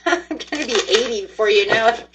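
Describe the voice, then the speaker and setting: A woman's voice: fairly high pitch, fairly quick, background noise. A woman in her forties giving a chatty, upbeat tarot card reading, speaking straight to her viewers. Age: 40s. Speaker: tarot reader.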